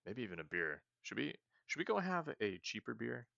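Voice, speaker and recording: A man's voice: mid-range voice, big pitch swings, a little fast, creaky, sharp tone, no echo, dull audio. A man casually talking through a roleplay video game as the host, thinking aloud and often restarting his sentences. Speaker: let's play host. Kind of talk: casual gameplay commentary.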